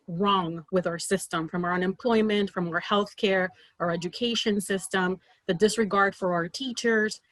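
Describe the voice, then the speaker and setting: A woman's voice: mid-range voice, no room sound, poor audio. Earnest Peruvian-born woman on a call. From Peru. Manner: earnest, rapid with many fillers and restarts. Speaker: political candidate. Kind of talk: video call roundtable pitch. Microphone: video call.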